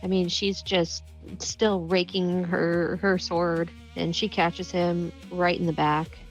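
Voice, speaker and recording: Woman playing dnd, slow with vocal fry, music in the background. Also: fairly low pitch, little pitch movement, rough recording. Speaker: tabletop game player. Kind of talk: casual in-game narration.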